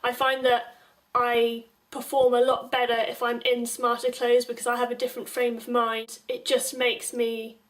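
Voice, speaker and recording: A young woman giving informal advice to viewers in a measured, explanatory way. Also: fairly high pitch, monotone. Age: young adult. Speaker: advice video presenter. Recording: distant microphone.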